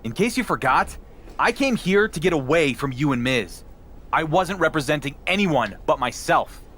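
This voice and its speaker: A man's voice: a little high, lively intonation, moderate pace, fairly bright tone, slight background noise. A man playing a defiant, dry-witted underdog wrestler in a video game story scene. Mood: indignant. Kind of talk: video game cutscene acting.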